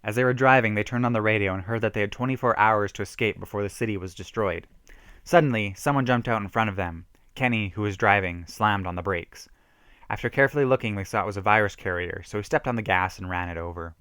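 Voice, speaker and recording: A man's voice: lowish voice, fast, fairly bright tone, clean tone, no echo, clean studio sound. A man giving an emphatic, theatrical reading of a horror story, stumbling now and then. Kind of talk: dramatic reading of a self-written horror story.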